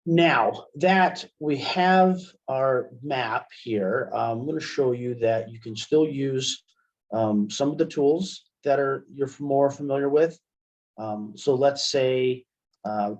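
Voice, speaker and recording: Male webinar instructor, unhurried delivery, informal step-by-step explaining, slightly muffled lo-fi recording. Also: medium pitch, a little mellow. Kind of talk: software tutorial demonstration. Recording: dull, lo-fi webinar audio.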